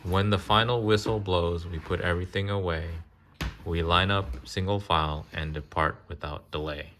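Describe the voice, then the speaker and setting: A man reading a children's picture book aloud in a casual way, pausing to chat, ask questions and explain. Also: low voice, steady tone, fairly bright tone, slight background noise. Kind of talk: casual read-aloud with chatty asides.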